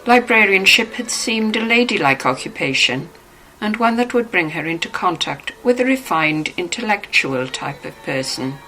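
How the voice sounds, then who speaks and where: Lively, bright-voiced woman narrating. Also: medium pitch, moderate pace, faint music behind, rough recording. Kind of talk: scripted novel narration.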